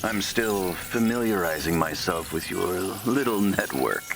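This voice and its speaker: An actor playing a gloating, sadistic mercenary villain in a video game, with a gravelly, mocking drawl. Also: male voice, low voice, lively intonation, heavily acted. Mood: mock politeness. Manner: mocking, slow, drawling menace. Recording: game music behind the voice.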